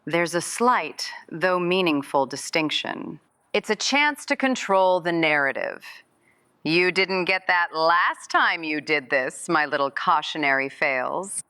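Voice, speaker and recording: A woman's voice: on the low side, sharp tone, no room sound. A woman playing a haughty, manipulative mother in an audio drama, speaking in a sardonic, articulate, coolly menacing way. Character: haughty, manipulative mother who produces a show. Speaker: voice actor in a scripted mystery podcast.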